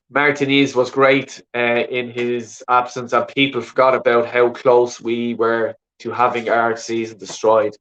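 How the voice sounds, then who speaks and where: A man's voice: mid-range voice, fairly bright tone. A man from Ireland with a Dublin accent, hosting a call-in show in a rambling, sweary casual chat full of "you know what I mean". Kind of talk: casual chat reading viewer comments. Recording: dull lo-fi sound.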